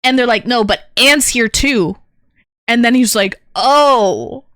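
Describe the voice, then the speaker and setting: Woman streamer, bright tone, slightly high voice, dry close mic, candid rambling chat. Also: slow pace, no room sound. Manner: candid, rambling storytelling with many fillers. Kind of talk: casual chat to stream viewers.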